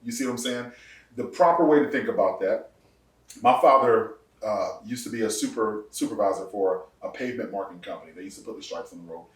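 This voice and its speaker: Man in his forties, pastor telling stories, lively laughing delivery, echoey room, a bit off-mic. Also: lowish voice, brisk, recorded from a distance. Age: 40s. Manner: animated storyteller, laughs often, rises sharply for punchlines. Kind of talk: casual interview testimony.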